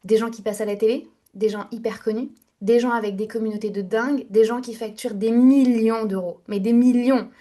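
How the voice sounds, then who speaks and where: A woman's voice: a little high, fairly even intonation, a little fast, hardly any pauses, dark tone. Chatty young woman telling her story. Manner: candid, chatty, many fillers and restarts. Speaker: podcast guest, entrepreneur. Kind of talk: casual interview storytelling.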